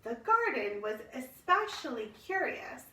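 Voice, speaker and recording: A woman reading a children's storybook aloud in an expressive, measured storytelling manner. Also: high voice. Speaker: storybook reader. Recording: roomy echo, distant microphone.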